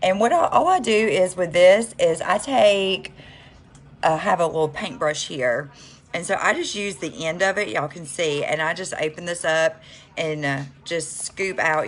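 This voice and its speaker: A woman's voice: low voice, lively intonation, measured pace. A woman chatting on a makeup livestream, stuffy from a cold, with lots of ums as she talks to viewers. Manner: chatty, many ums, addresses viewers as y'all. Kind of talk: casual get-ready-with-me livestream.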